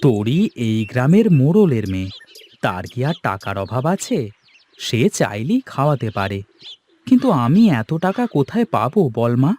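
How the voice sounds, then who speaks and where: A man's voice: medium pitch, sing-song, moderate pace, a little mellow. A man voicing a poor, loving and anxious village father in a cartoon. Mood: concerned, tender. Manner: tender, anxious. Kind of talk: cartoon character dialogue. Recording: music bed behind the voice.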